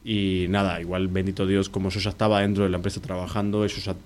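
Uruguayan man with a mixed accent, low voice. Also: flat delivery, fast talker, darkish tone, a little hiss or hum. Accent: mixed Uruguayan, Argentine and Mexican Spanish. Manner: rambling, self-correcting, earnest. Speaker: actor and musician guest. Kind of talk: casual interview talk.

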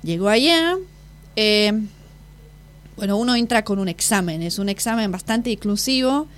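A woman's voice: medium pitch, animated, moderate pace. Woman in her twenties, hesitant. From Slovakia. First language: Slovak. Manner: hesitant, searching for words, self-correcting. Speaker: exchange student guest. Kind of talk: casual interview answers.

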